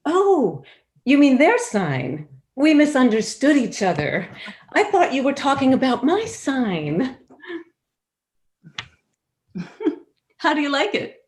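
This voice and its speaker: A woman playing a cheerful, gently defiant old lady in a staged play reading over a video call. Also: high voice, full character voice. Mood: bright, welcoming. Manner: unhurried, expressive, gently chuckling.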